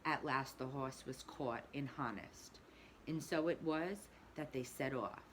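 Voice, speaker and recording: Slow, expressive teacher reading to kids. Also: female voice, rumbling low, a little hiss or hum. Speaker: pre-K teacher. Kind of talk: children's read-aloud.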